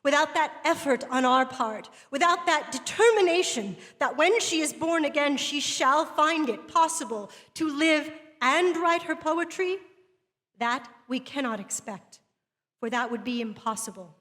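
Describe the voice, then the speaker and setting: A woman playing an earnest woman writer who delivers a literary lecture in measured, rhetorical phrases. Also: high-pitched, expressive, fairly bright tone. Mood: impassioned, resolute. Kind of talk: dramatic reading of a literary lecture. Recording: long hall reverb.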